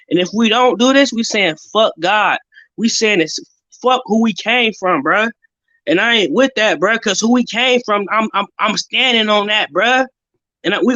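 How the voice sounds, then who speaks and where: A man's voice: high voice, normal speed, sharp tone, no room sound, dull audio. A man speaking as a guest on a livestream panel, ranting fervently and with lots of profanity, often ending his lines with "bruh". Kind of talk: impassioned livestream rant.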